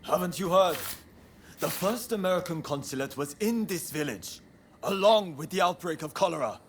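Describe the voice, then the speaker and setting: An actor playing a fiery, proud and idealistic young samurai in a video game, with earnest, formal phrasing. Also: male voice, a little high, animated, moderate pace, slight background noise. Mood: indignant, resolute. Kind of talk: video game cutscene acting.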